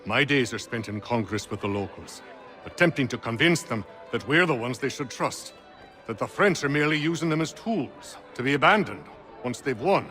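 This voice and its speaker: An actor playing an earnest, dutiful colonial diplomat in a video game, speaking formally and articulately with frustration. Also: male voice, mid-range voice, expressive, normal speed, pushed and bright, faint music behind. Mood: frustrated, weary. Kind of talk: video game cutscene acting.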